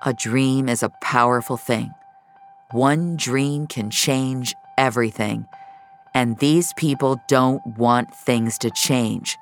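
A woman's voice: very deep voice, fairly even intonation, slow pace, faint music behind, full character voice. A woman performing a hardened, resentful male mentor turned captor, with a weary, stern manner for audio fiction. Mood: grave, deliberate.

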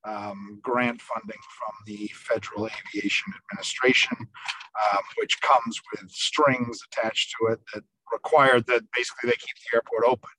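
A man, a reporter, explaining a story on a podcast over a video call from his parked car, in quick bursts with fillers. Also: mid-range voice, fairly even intonation, bright, forceful tone, no echo, poor audio. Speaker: senior reporter. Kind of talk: casual podcast chat.

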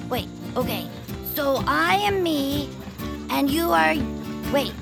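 An eager young bird-like girl fan in an audio drama. She is high and lively with a gravelly edge, and she rambles through tangled explanations. Soft music plays underneath. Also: slow, full character voice. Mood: earnest.

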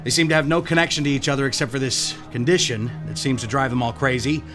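A man's voice: mid-range voice, fast talker, music in the background, lightly acted. A man playing a dry, matter-of-fact detective. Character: level-headed male county detective.

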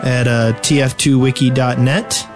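A man of average pitch talks casually as a podcast co-host. Music plays behind his voice. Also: fairly even intonation, a little slow. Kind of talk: casual group podcast chat.

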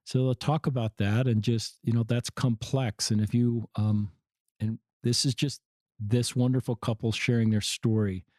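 A man hosting an interview podcast, introducing his guests in a gentle, rambling way. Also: mid-range voice, fairly even intonation, moderate pace, no room sound, studio quality. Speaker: podcast host.

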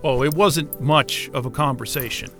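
A man's voice: mid-range voice, normal speed, a bit bright, soft background music, natural voice. An actor playing a frustrated, sarcastic man trapped at a remote station, sardonic and exasperated, in a naturalistic audio drama. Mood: sullen, sarcastic.

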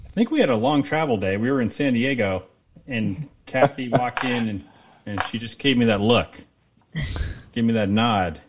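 A man's voice: mid-range voice, moderate pace. A man in a band interview, telling a laid-back story from the road. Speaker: band member. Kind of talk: casual anecdote. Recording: audible background noise.

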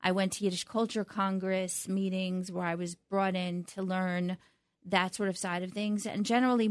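A middle-aged woman speaking as an interview guest, reflective and halting, often restarting her sentences. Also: medium pitch, no echo, studio quality. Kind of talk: conversational interview.

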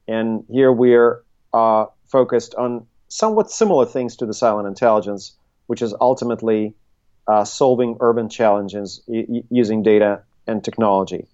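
A middle-aged man, speaking conversationally as an interview guest and haltingly thinking his way through his answers. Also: fairly low pitch, darkish tone, dull audio. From Russia, Soviet Union. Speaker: interview guest, tech consultancy CEO. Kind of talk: conversational interview, recounting career.

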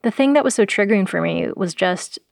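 A woman's voice: mid-range voice, lively intonation, brisk, vocal fry, darkish tone, dry recording, studio quality. A woman born in South Korea and raised in the US, hosting an audio documentary in a wry, sardonic voice with pop-culture slang. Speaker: producer and host. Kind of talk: scripted audio documentary narration.

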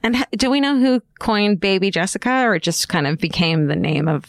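A woman co-hosting a podcast who mostly listens and reacts with short, expressive interjections. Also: mid-range voice, fairly quick, no room sound, clean studio sound. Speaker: podcast co-host. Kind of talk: casual chat, reacting.

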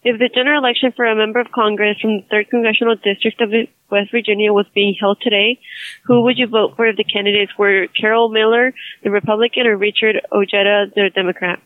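A woman's voice: mid-range voice, fairly even intonation, fast, no room sound. A woman survey caller reading polite scripted questions over the phone. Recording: tinny dry phone line.

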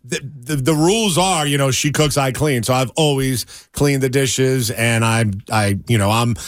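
A man in his fifties co-hosting morning radio, self-deprecating and quick to laugh, rambling with restarts. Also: mid-range voice, no room sound, clean studio sound. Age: 50s. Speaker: radio co-host.

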